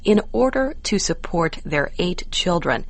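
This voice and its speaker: An American woman reading scripted educational narration for English learners with measured, careful enunciation. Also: on the low side, sing-song, no room sound.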